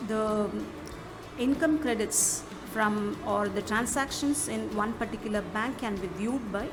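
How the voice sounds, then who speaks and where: A woman presents a software walkthrough in an instructional manner, with halting phrasing. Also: mid-range voice. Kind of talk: step-by-step app walkthrough narration. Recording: music behind the voice. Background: clearly audible music.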